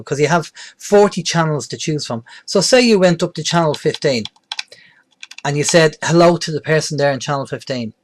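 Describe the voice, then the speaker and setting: Middle-aged male presenter, slightly high-pitched voice, plain-spoken delivery for an informal hands-on how-to. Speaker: presenter of a beginners' radio tutorial. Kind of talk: informal step-by-step tutorial.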